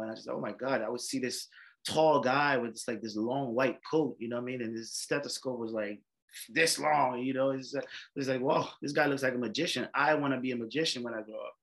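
A man's voice: mid-range voice, fast talker, fairly bright tone. A man, an emergency doctor telling his life story in a casual interview, rambling with many fillers and restarts. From Haiti. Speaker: emergency medicine doctor, guest.

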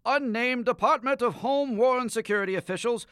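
A man's voice: very high voice, brisk, fairly bright tone, smooth, dry recording, studio quality. A man playing a radio announcer and newsreader, delivering scripted broadcast lines. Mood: announcing.

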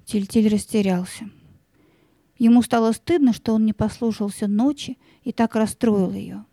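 A woman's voice: a little high, steady tone, normal speed, mellow, no echo, clean studio sound. A woman narrating a scripted fairy-tale audio play as a storyteller, with music behind her.

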